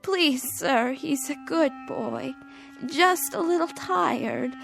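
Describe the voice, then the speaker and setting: A woman's voice: high-pitched, creaky, a bit bright, heavily acted. An actress playing an older grandmother who begs desperately for her grandson, in a radio drama. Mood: pleading. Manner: pleading, slow and tearful. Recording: radio drama with music beneath.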